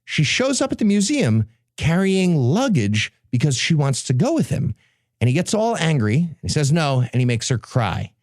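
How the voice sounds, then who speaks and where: A man's voice: a little high, big pitch swings, moderate pace, a little mellow, no echo, clean studio sound. A man hosting a comedy podcast, giving a casual, sarcastic and irreverent book recap. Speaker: podcast host presenting a book summary.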